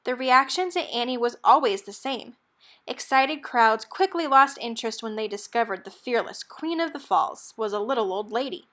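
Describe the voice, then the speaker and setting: A woman reading a children's picture book aloud in an expressive, measured storytelling manner. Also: a little high. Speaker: storybook reader.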